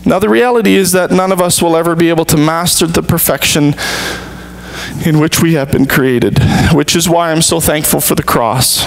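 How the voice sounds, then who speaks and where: Pastor guy at a lectern in church, kinda high voice, slow careful sermon, faint hum. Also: low-quality recording. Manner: earnest, measured preaching with deliberate pauses. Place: church sanctuary stage.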